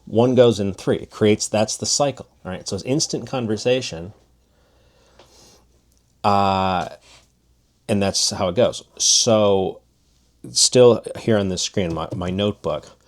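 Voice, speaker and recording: Casting: man with a low voice, teacher type, chatty and demonstrative, lots of restarts. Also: slow pace, long pauses. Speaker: language teacher. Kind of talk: casual spoken lesson.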